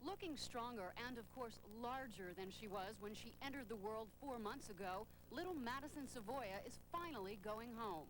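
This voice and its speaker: A woman's voice: on the high side, expressive, moderate pace, few pauses. Female reporter over noticeable background noise. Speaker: TV news reporter. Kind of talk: scripted news report.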